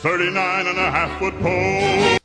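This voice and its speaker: Deep bass man singing with a band. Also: level tone, normal speed. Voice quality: very deep bass, dark and creepy. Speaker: singer. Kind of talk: sung cartoon song with spoken asides. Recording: roomy old soundtrack with band. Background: jazzy band music.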